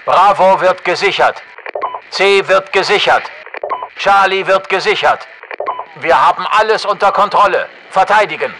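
Intense high-pitched soldier on crackly radio. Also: male voice, measured pace. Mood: brisk, reporting. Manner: clipped short barked orders and status reports. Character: masked male special forces soldier, intense and commanding. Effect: radio filter. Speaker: military game announcer. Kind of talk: terse military radio voice lines for a shooter game.

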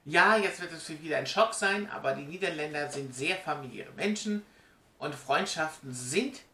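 A man's voice: fairly high pitch, lively intonation, moderate pace, bright, forceful tone. A man, chattily explaining things to camera with emphatic, direct address, recorded on a webcam in a room at home. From Germany. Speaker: vlogger. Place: small indoor room at home. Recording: roomy, distant webcam sound.

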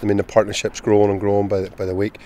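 Northern Irish manager, low flat voice. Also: male voice, slight background noise. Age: middle-aged. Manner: measured, many "you know" fillers. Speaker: football manager. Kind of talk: post-match interview. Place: outdoors at a football stadium pitchside. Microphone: handheld interview mic, close camera.

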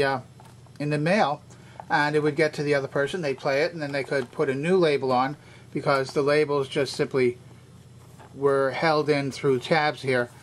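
Older male demonstrator, slightly high-pitched for a man, methodical how-to delivery. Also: a bit bright. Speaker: vintage electronics repairer and demonstrator. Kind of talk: explanatory hands-on product tour.

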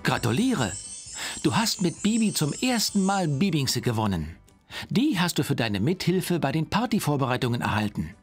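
Man, children's game narrator, explanatory, very lively intonation, husky edge. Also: fairly high pitch, moderate pace, faint music behind.

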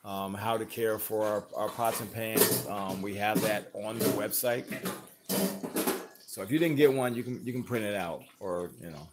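A man's voice: fairly low pitch, moderate pace, very noisy. Chatty man reading out live chat. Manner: chatty, reads viewer comments aloud with laughs. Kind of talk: casual livestream chat. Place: home kitchen, off camera.

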